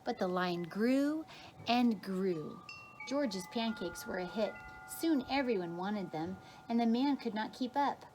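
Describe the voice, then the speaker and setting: A woman's voice: mid-range voice, lively intonation, slow, darkish tone, slight background noise, poor audio. A woman teacher reads a children's picture book aloud expressively, drawing out key words for emphasis. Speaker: kindergarten teacher reading aloud.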